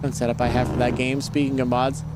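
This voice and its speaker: A man's voice: mid-range voice, little pitch movement, fast talker. A man in his thirties streaming a game, chatting in a rambling, blunt way with casual swearing. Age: 30s. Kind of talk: casual live-stream chat while gaming. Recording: loud vehicle noise behind.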